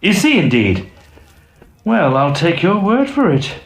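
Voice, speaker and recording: A man playing a brisk, blunt, level-headed young medical student in an audio drama. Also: high-pitched, wildly swooping pitch, full character voice. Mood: puzzled, curious. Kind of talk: acted audio drama dialogue.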